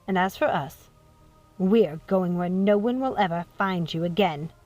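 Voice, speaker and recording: A woman's voice: fairly low pitch, measured pace, muffled recording, close to an everyday voice. A woman voicing a cruel, possessive old witch mother in a storybook reading, mocking and menacing, over music. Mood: cold, coaxing. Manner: syrupy menace, swinging from hushed coaxing to screaming.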